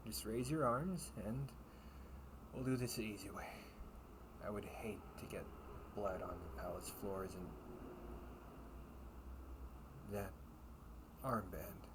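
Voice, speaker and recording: A man playing a kindly, teasing knight captain in a comfort audio roleplay, speaking in courtly, old-fashioned phrases. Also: lowish voice. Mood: guarded, calm threat. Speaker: amateur audio roleplay performer. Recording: clearly noisy home recording with ambience.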